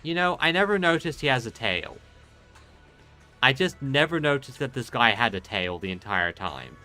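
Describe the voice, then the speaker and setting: Male let's-play host: forward, bright tone, rambling casual delivery, faint game music underneath. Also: mid-range voice, big pitch swings, moderate pace. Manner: rambling, hesitant thinking aloud. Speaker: game streamer. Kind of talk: casual let's play commentary, reading dialogue aloud.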